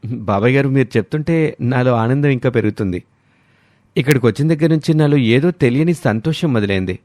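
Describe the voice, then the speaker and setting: A man's voice: mid-range voice, a little fast, darkish tone, no room sound, lightly acted. A man playing a polite, enthusiastic young city visitor in a moral story. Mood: delighted, earnest.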